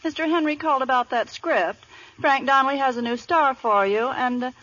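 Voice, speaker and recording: A woman's voice: high-pitched, fairly quick, fairly bright tone, no echo. An actress playing an efficient female film studio secretary on 1930s radio, matter-of-fact. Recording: dull 1930s radio broadcast.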